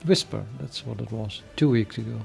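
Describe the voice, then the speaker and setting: Gravelly Dutch-accented man thinking aloud. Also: fairly low pitch, a little mellow, soft background music. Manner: thinking aloud, halting with many fillers. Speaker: programming livestreamer. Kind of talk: casual live-stream chat.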